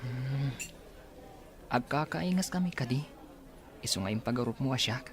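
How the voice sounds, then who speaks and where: A man's voice: medium pitch, expressive, normal speed, close to an everyday voice. An actor playing an earnest, courteous young man in a radio drama. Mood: polite, curious. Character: earnest, courteous young man seeking his lost sibling. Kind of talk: Ilocano radio drama acting.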